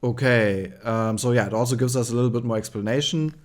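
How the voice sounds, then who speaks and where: A man with a German accent walks through a tutorial in a loose, unscripted way. He thinks aloud as he goes, filling pauses with ums and likes, at a medium pitch. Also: steady tone. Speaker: tutorial host. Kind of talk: casual screen-share tutorial.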